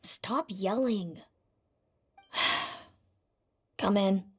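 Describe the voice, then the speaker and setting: A woman's voice: medium pitch, very slow, fairly bright tone, slightly rough, exaggerated voice. A woman playing a guarded, weary and reluctant fairy woman in an anime-style video game, speaking in a cool, curt, foreboding way. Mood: resigned.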